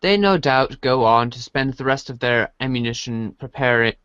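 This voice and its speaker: A man reading comic narration aloud for a podcast, halting and correcting himself as he goes. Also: mid-range voice, fairly bright tone, clear, resonant tone, no room sound, lo-fi sound. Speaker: reader in a webcomic reading podcast.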